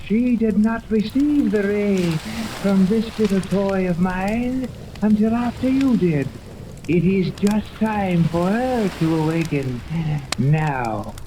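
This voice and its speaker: A woman's voice: mid-range voice, lively intonation, slow pace. An actress playing an imperious, menacing female villain in a 1930s radio serial, speaking with haughty, commanding, formal diction. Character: imperious, menacing woman villain with a paralyzing ray gun. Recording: noisy old 1930s radio recording.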